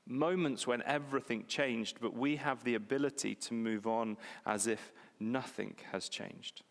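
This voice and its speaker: A man's voice: medium pitch, unhurried. Preacher in his forties, reverberant hall. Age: 40s. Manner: conversational, self-deprecating, repeats key phrases for emphasis. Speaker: pastor. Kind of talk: sermon. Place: church hall. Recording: long reverb of a church hall.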